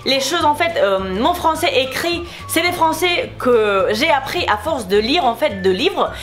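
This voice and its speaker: A young woman from Spain speaks French with a Spanish accent, talking fast and heated in a casual beauty vlog as she answers nasty comments. Her voice sits high, and she circles back over the same points again and again. Faint music plays underneath. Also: expressive, fairly bright tone. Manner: heated, irritated, repetitive. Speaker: beauty YouTuber.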